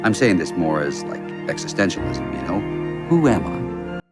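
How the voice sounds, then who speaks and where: An eccentric film character with a very deep, swinging voice and an existential bent. Loud music from the movie sits behind his lines. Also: big pitch swings, a little slow. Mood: musing. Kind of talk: film dialogue.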